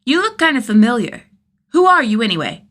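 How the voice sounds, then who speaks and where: Woman voicing a prim, bossy little boy, higher-pitched. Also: very animated, a little fast, fairly bright tone. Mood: pointed, suspicious. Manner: pointed, prim, defiant. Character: prim, suspicious, bossy elementary-school boy. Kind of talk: acted audiobook dialogue.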